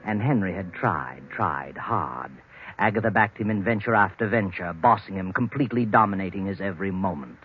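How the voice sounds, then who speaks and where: Ominous mocking night narrator, low voice, swoops around, old radio mystery. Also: male voice, lively intonation, a little fast, slight background noise. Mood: brooding. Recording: muffled vintage radio recording with music.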